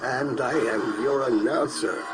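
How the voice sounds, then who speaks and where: A man giving a pompous, deliberate podcast introduction in the style of an old-time radio announcer. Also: medium pitch, over music.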